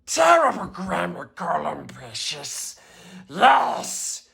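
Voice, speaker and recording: A man voicing a wretched, throaty creature who reads a children's storybook in a theatrical croak. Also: sits high, huge pitch swings, extremely slow, sharp tone, heavily acted. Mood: dramatic narrating. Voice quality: raspy, strained throaty croak. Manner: theatrical, wildly swooping delivery. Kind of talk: dramatic storybook reading.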